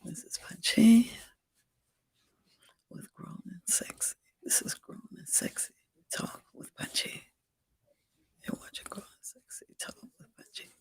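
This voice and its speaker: A hoarse, raspy-throated host of a casual livestream show, repeating station call-outs and coaxing viewers to like and subscribe. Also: mid-range voice, a little slow, long pauses, no room sound, in a whisper.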